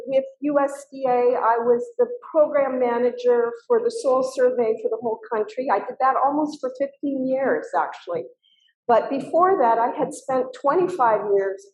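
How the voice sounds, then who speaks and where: A woman's voice: high-pitched, darkish tone, echoey room, rough recording. An older woman with a small, girly voice, a retired scientist candidly recalling her career with many fillers. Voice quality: little girly voice. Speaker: guest speaker, retired soil scientist. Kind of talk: personal career story in a talk.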